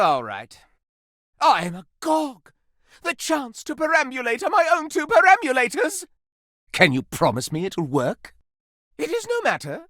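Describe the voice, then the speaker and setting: A man's voice: extremely high pitch, fairly bright tone, no echo, studio quality, heavily acted. A man voicing a flamboyant, lustful living candelabra in a video game, with florid, pompous, innuendo-laden diction and theatrical melodrama.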